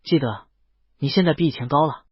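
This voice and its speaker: A woman voicing a shy, naive, obedient young dragon boy with a husky voice in a comic audio story. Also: sits low, a little fast, no echo, lo-fi sound, voice pushed down.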